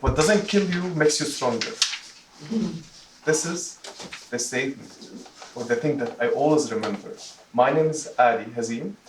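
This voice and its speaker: A man from Jordan giving a prepared personal speech to a club, speaking haltingly and repeating words. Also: mid-range voice, heavy background noise. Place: meeting room, recorded from a distance. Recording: roomy echo, distant microphone.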